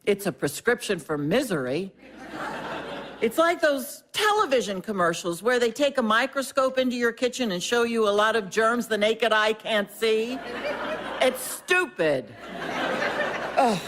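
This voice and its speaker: A woman's voice: fairly high pitch, lively intonation, a little slow. An actress playing an imperious, blunt older mother in an audio drama, haughty, dismissive and emphatic. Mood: scornful, lecturing.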